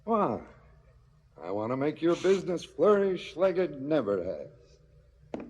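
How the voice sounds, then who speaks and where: A man's voice: fairly high pitch, sing-song, slow, muffled recording, noisy background. An actor playing a calculating corrupt policeman in a stylised radio drama, declamatory and coolly menacing, with clipped retorts. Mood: smug, commanding. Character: smug, calculating corrupt policeman muscling in on racket.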